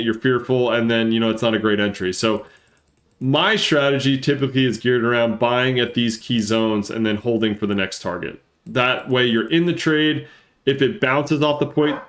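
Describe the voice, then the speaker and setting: A man with a congested, stuffy-nosed voice, explaining things casually as a live-stream market analyst and repeating points for emphasis. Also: mid-range voice. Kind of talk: casual live chart commentary and trading lesson.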